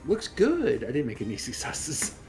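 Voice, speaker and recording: A man's voice: medium pitch, huge pitch swings, normal speed, gravelly. Male tabletop player, mid-range voice, informal game chat over noticeable background music.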